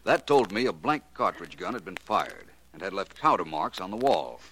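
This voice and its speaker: An actor playing a shrewd, self-assured private detective in a theatrical, deliberate 1940s radio drama style. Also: male voice, sits low, sing-song, a bit bright. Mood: explaining calmly. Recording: noisy old 1940s radio recording.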